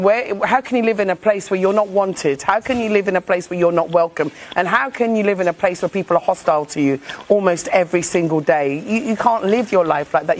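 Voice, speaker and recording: English-born woman, passionate interviewee, hammers her points home. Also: on the low side. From England. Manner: emphatic, repeats points for effect, laughs readily. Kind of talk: casual interview chat.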